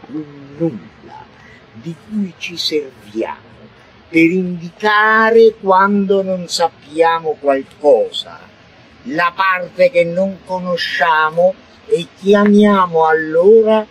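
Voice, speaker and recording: Bright, slow-paced man reciting dramatic poetry. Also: a little high. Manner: declamatory, halting, drawn-out words with strong swings in emphasis. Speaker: reader performing a poetic radio text. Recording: noisy radio broadcast recording.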